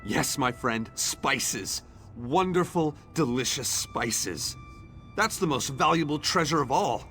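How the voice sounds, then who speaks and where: A man acts out an earnest young townsman from a fantasy video game, hopeful and grateful, set on defying fate. His voice sits at a middling pitch and has a declamatory streak. Music plays softly behind him. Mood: delighted. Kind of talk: scripted video game dialogue. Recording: background music under the voice.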